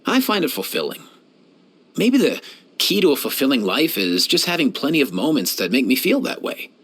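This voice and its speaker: A lively, easygoing young animal doctor. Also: male voice, mid-range voice, normal speed, breathy edge, full character voice. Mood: easygoing, caring. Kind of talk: scripted video game character voice lines.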